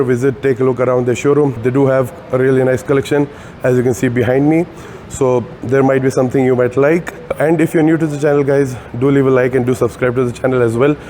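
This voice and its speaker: Male car vlogger, sore throat, mellow darker tone, chatty with lots of "uh"s, slight room hum behind. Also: mid-range voice. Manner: chatty, many "uh" fillers, addresses viewers as guys. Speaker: car reviewer. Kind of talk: casual walkaround car review. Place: car showroom.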